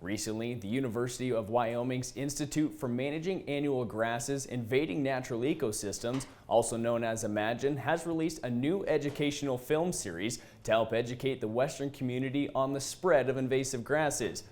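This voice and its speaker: A man's voice: medium pitch, a little fast, few pauses, a little mellow. Male farm news host reading a script. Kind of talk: scripted broadcast news narration.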